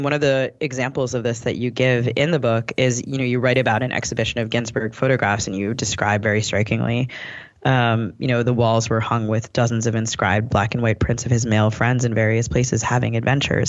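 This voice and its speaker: A man's voice: medium pitch, fairly even intonation, a little fast, lo-fi sound. A man co-hosting a podcast, putting questions to a guest in a conversational way. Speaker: podcast co-host.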